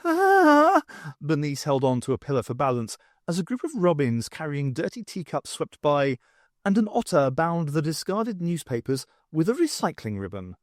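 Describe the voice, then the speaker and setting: Guy narrating an audiobook, really expressive, lots of pitch movement, dry close recording. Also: moderate pace, no echo. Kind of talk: scripted fiction narration.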